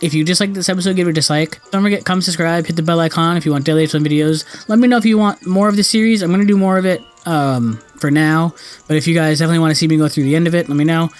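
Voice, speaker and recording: A man's voice: fairly high pitch, fairly even intonation, racing. A man giving casual let's-play commentary as the host, rambling loosely in slangy speech and correcting himself as he goes. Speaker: gaming video host. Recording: game music behind the voice. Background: video game music.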